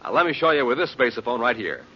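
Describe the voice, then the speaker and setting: An American man announcing in a 1950s radio style, giving an emphatic, hearty sales pitch to children. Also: very animated, fast, husky. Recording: dull old radio recording.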